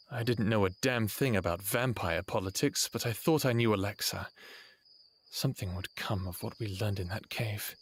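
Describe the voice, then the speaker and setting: A man playing a battle-scarred, lovesick human with a husky voice, wry and brooding, in an audio drama. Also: lowish voice, fairly bright tone, full character voice. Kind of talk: first-person audio drama narration and dialogue.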